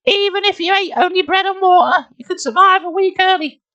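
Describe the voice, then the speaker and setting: Streamer doing a shrill, bossy little girl. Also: fairly quick, pushed and bright, dry recording, muffled recording. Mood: scolding. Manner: shrill, emphatic. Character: bossy young girl, the narrator's know-it-all sister.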